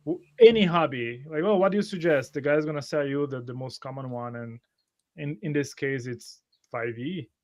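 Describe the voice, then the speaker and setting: A man chatting casually and giving opinions as a tabletop player on a stream, in a halting, filler-heavy way. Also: mid-range voice, a little mellow.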